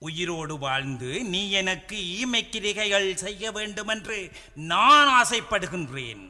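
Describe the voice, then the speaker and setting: Heavy reverb surrounds an anguished royal father in a Tamil radio play. His forceful voice has a Sri Lankan Tamil accent and swings widely in pitch. He declaims solemnly, pleading like a parent. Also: very animated, exaggerated voice. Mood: grave pleading. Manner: declamatory, solemn, drawn-out phrasing. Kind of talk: theatrical Tamil radio drama acting. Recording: long hall-like reverb.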